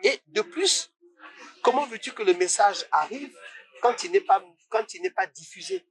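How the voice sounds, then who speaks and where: Passionate higher-voiced man. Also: moderate pace, husky, no echo, poor audio. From Congo. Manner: passionate, animated, rising to indignant questions. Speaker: guest musician. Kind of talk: casual interview talk.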